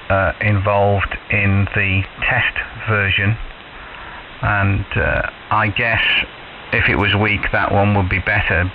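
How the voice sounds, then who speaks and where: A man chatting casually and technically over ham radio, choosing his words in a considered, hesitant way. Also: fairly low pitch. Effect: shortwave radio transmission. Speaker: amateur radio operator. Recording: narrow tinny shortwave radio signal, clear hiss. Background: radio static hiss.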